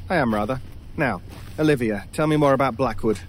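A man's voice: medium pitch, fairly quick, a little hiss or hum, lightly acted. A man playing an imperious, obsessive stranger in an old time radio drama, brusque and commanding, sometimes muttering to himself. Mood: urgent, demanding.

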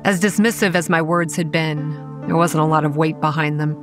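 Woman acting young female campground manager, lowish voice, stubborn, guarded, sardonic edge, horror audio drama storytelling, music underneath. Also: steady tone, brisk, natural voice. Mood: brooding. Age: young adult. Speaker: narrator and lead character. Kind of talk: horror audio drama, first-person narration and dialogue.

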